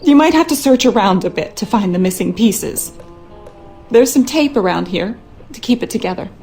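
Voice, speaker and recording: A woman's voice: medium pitch, expressive, normal speed, dark tone, faint music behind, close to an everyday voice. An actress playing a worried, skeptical wife and mother, speaking earnestly and dramatically in a game monologue.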